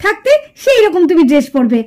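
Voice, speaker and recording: A woman's voice: very high voice, sing-song, fast talker, darkish tone. A woman playing a vain, whiny young city woman in a puppet cartoon, gushing and pouting in an affected way with bits of English. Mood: fussy, disapproving. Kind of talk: puppet cartoon drama acting.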